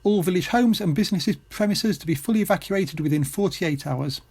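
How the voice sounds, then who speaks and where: An amateur actor playing a pompous, brisk army officer who talks in clipped, patronising military jargon in a comic audio play. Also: mid-range voice, a little mellow, breathy edge. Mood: officious, brisk.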